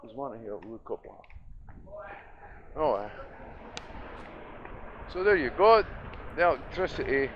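A man's voice: mid-range voice, very animated, measured pace, pauses often, muffled recording. A Scottish man muttering a rambling, sweary, casual commentary as he walks along outdoors, filming on a handheld device. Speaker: vlogger. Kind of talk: casual walking commentary, thinking aloud. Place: outdoors on a city street. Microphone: handheld camera or phone held by speaker. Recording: noisy outdoor street with traffic and roadworks.

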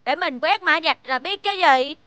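A woman's voice: high voice, moderate pace, bright, forceful tone, no echo, muffled recording. A woman with a southern Vietnamese accent voicing a caring, curious little magical creature in a children's cartoon. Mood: confident.

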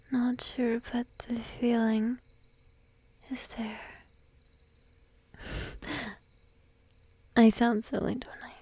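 A woman voicing a shy, needy, teasing sheep-girl girlfriend in an ASMR roleplay, speaking in a soft breathy whisper close to the microphone. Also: a little high, exaggerated voice. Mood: sleepy, content. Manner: slow, drowsy, playfully coaxing. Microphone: close microphone, dry.

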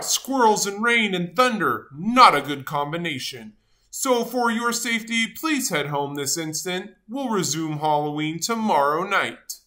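A theatrical, fretful seed fellow from a children's storybook, acted out by a woman. He swoops up and down in pitch and draws his words out slowly in a bright, forceful tone. He sounds self-important and grumpy, yet good at heart. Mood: mock alarm. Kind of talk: animated children's storybook read-aloud.

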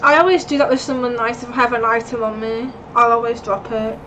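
A young woman with a fairly high voice chats casually and laughs often during a gaming stream. She sits a bit away from the mic, and a steady noise hums under her voice. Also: a little fast. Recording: distant mic with background noise.

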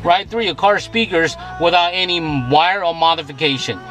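A man in his forties giving a halting, repetitive product demonstration as presenter and seller. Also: on the high side, rough recording. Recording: music playing behind the voice. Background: music.

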